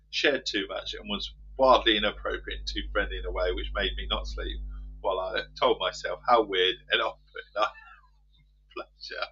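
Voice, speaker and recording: A man's voice: lowish voice, husky, highs cut off. A man over forty-five, chatting casually and swearing freely as he streams a driving game. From England. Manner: rambling, swears freely, chats to viewers while driving. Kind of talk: casual gameplay chat. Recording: loud bus engine noise behind. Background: loud game vehicle noise.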